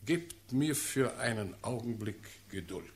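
Slow, imperious ruling prince. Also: male voice, medium pitch, animated, slight background noise. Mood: probing. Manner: measured, formal, imperious. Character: commanding, shrewd ruling Elector of Brandenburg. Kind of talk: German classical verse radio drama.

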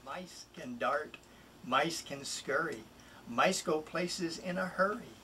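A middle-aged man, a children's author, introducing and expressively reading a picture book in a deliberate, emphatic way. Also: fairly high pitch, bright, forceful tone. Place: small wood-panelled home office, indoors. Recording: distant mic, room noise.

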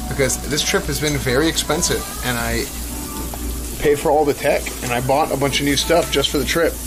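A man's voice: mid-range voice, fairly quick, music in the background, low-quality recording. A young man chatting casually as host of a livestream in a restaurant, with food sizzling nearby. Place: busy Korean barbecue restaurant, indoors.

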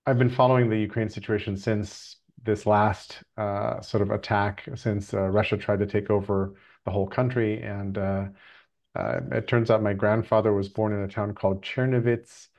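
A man hosting a group video call, talking casually in a measured, reflective way. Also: on the low side, flat delivery, a little mellow. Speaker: call host. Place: video call from a room indoors. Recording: slightly dull video-call audio.

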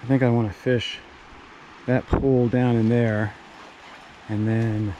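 Rambling man by a rushing stream. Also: medium pitch, flat delivery, dark tone, lo-fi sound. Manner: rambling, thinking aloud with fillers and restarts. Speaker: fishing vlogger. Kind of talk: casual narration while hiking and fishing. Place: outdoors by a mountain stream. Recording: outdoor recording with stream noise. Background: rushing stream water.

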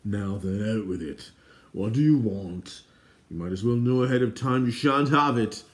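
An irritable little dwarf king from a fantasy storybook, acted by a male reader, blustering loudly and imperiously, with drawn-out, exasperated emphasis. He sounds permanently put upon. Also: on the low side, natural voice. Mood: curt, irritable.

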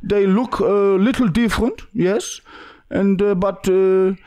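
A man's voice: high voice, takes it slowly, warm tone. A young man voicing a pushy foreign man with broken English on a prank call, full of hesitant fillers. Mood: insistent. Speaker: prank caller. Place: small studio room indoors. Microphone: podcast mic on boom arm.

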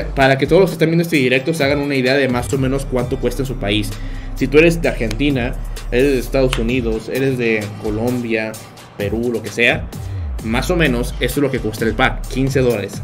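A man's voice: medium pitch, fairly even intonation, fairly quick, faint music behind. A young man with a Mexican accent, livestreaming a game and chatting with viewers, joking and laughing often as he tells stories. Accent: Mexican Spanish. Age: young adult. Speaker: streamer. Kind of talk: live game stream chat. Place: small bedroom.

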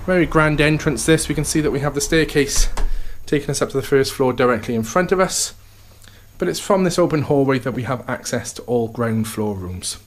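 A man's voice: medium pitch, brisk, slight background noise. A man, an estate agent, giving an upbeat walkthrough tour of a house, full of sales patter and repeated "okay" and "fantastic".

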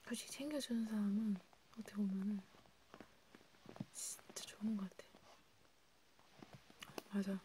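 Casting: woman for whispered ASMR role play, soft dark timbre, breathy, close and conversational, with faint noise behind. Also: mid-range voice, low-quality recording. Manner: intimate, halting, informal one-sided conversation. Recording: steady background noise with clicks.

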